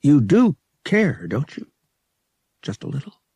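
A man playing a cocky young male space pilot in an audiobook, earnest and tender underneath, with a soft, slightly gruff delivery. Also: on the high side, very animated, slow, dry recording, pitched above the performer's own voice, full character voice. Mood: pleading.

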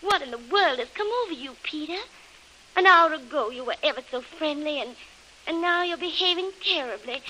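A woman's voice: high voice, lively intonation, fairly quick, sharp tone, low-quality recording. An actress playing a scheming young woman in a 1930s radio serial, coy and theatrically indignant as she feigns innocence. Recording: hissy 1930s radio recording.

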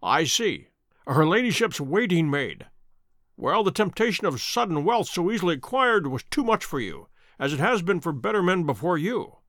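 A man voicing a self-assured Victorian detective in an audiobook, measured, stern and dryly mocking. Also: a little high, big pitch swings, fairly bright tone, no room sound, studio quality. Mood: probing, accusing. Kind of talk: dramatic audiobook character reading.

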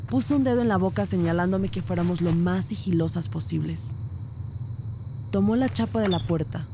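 A woman's voice: fairly low pitch, noisy background, lightly acted. A woman playing a composed police detective who narrates her investigation in a scripted crime audio drama. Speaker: narrator and lead character. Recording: loud music behind the voice. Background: music.

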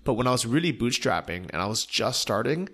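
A man's voice: medium pitch, brisk, breathy edge. A man hosting a podcast, chatting casually and asking his guest follow-up questions. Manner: relaxed, conversational, asks follow-up questions. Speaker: podcast host. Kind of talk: casual interview.

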